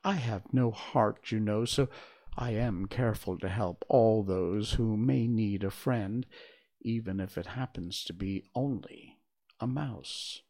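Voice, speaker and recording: A man's voice: on the low side, slow, a little mellow. A man voicing a kindly, polite tin woodman while reading a storybook aloud. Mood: gentle. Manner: polite, earnest.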